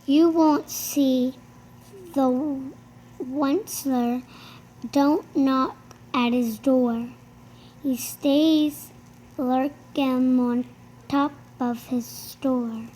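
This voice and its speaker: A young girl reading a rhyming storybook aloud haltingly, sounding out hard words and repeating them after a helper. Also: high voice, fairly even intonation, mellow, slight background noise, poor audio. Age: child.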